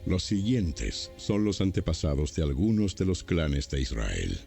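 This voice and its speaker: Deep voiced guy narrating an audio bible, slow and solemn, lots of up and down in his reading, bit of gravel. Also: very animated, mellow, soft background music.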